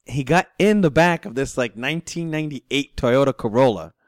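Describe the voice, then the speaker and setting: A man's voice: medium pitch, lively intonation, normal speed, dry recording, studio quality. A young man co-hosting a casual sports podcast, joking and laughing through slangy stories. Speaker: podcast co-host.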